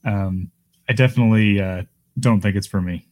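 A man's voice: on the low side, normal speed, darkish tone, no room sound. A man co-hosting a casual podcast, wry and self-deprecating. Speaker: podcast co-host.

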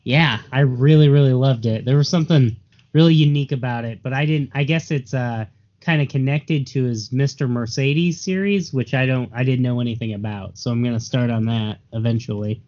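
A man's voice: medium pitch, brisk, a little mellow, muffled recording. A man co-hosting a podcast, chatting casually with dry, joking banter. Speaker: podcast co-host.

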